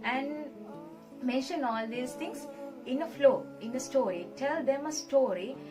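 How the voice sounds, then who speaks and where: Sri woman, fairly high voice, earnest advice-giver, off-mic with loud music under her. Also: unhurried, distant mic. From Sri Lanka. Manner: earnest, repeats key advice. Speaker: scholarship recipient guest. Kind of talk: casual advice in an interview.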